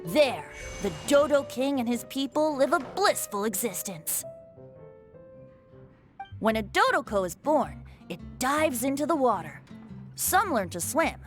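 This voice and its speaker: A woman's voice: high-pitched, big pitch swings, unhurried, soft background music. A woman voicing a cheerful, playful young male bard in a video game, with a theatrical, lilting, teasing delivery. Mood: storytelling. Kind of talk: scripted video game voice acting.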